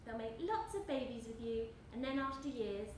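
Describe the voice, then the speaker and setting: A woman's voice: a little high, a little mellow, natural voice. Woman acting a menacing woman, echoey stage sound. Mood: taunting threat. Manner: deliberate, taunting, repetitive phrasing. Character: menacing, cruel woman calmly threatening her victim. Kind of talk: live stage play acting. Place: indoor stage of a live theatre performance. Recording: echoey theatre, distant mic, noisy.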